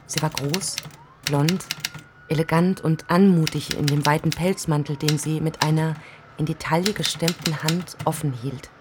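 Actress as literary woman narrator, deep pitch, measured prose reading, radio play, clicking typewriter noise. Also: steady tone, close to an everyday voice. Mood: absorbed, intent. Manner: measured, descriptive. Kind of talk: novel reading in radio drama. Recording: studio with typewriter clatter behind.

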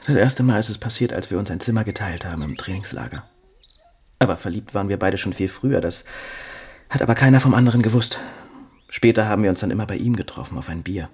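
An actor playing an evasive, anguished young footballer and father in a TV crime drama, speaking haltingly. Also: on the low side, expressive, darkish tone, breathy edge. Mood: sorrowful, hushed. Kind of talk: naturalistic German TV drama dialogue.

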